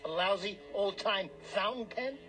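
An actor in a radio drama playing a pushy, greedy small-time hustler who talks in cocky, needling slang. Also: male voice, high voice, normal speed, lightly acted. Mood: irritated. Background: music.

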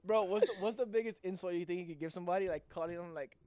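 A man's voice: high-pitched, racing, warm tone, a little hiss or hum. A young man joking and telling stories in a casual podcast chat, laughing often and using heavy slang.

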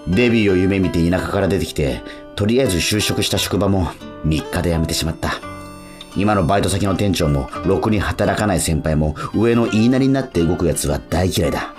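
A man's voice: low-pitched, a little fast, lightly acted. An actor playing a cynical young male street musician, narrating a radio drama in blunt, slangy asides. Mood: brooding, defiant. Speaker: narrator and lead character. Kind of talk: first-person radio drama monologue. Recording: acoustic guitar music behind.

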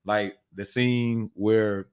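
An American man chatting casually with fellow actors, laid-back and quick to chime in agreement. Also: lowish voice, steady tone, a little mellow, clean tone. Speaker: stage play actor, panel guest. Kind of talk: casual group chat.

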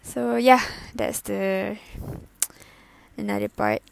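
A twenty-year-old woman, introducing her first podcast in a halting, self-conscious way, full of fillers and giggles. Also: mid-range voice, steady tone, gravelly, slight background noise. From Malaysia. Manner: hesitant, halting, with many fillers and self-corrections. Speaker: student podcaster. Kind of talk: casual solo podcast intro.

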